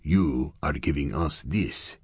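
A cold, deliberate Russian criminal, as a male narrator puts him on, speaking broken English in a deep, unhurried growl. The voice sits low and husky, every word weighed. The recording is close and dry. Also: no echo, heavily acted. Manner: broken English, slow and measured. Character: menacing Russian man in a tan suit.

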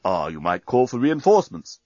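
A man's voice: on the low side, huge pitch swings, fairly quick, a little mellow, no room sound, highs cut off. A man voicing a pompous, formal and easily defensive military captain of alien invaders in a comic audiobook. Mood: stiff, defensive.

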